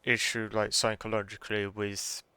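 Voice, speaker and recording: A man's voice: lowish voice, monotone, normal speed, dry recording. A man giving casual reaction commentary as he streams a game, with game music in the background. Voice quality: bright, clear.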